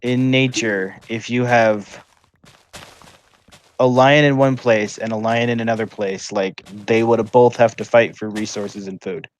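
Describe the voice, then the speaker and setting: A man talking casually with friends on a livestream, halting and full of fillers. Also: medium pitch, fairly even intonation, slight background noise. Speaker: livestream gamer. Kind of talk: casual chat.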